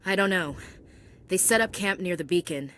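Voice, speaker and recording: A tough, earnest young woman soldier in a sci-fi game. Her voice sits a little low, and she reports plainly, like someone military. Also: animated, moderate pace, fairly bright tone. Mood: matter-of-fact. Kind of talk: video game voice acting.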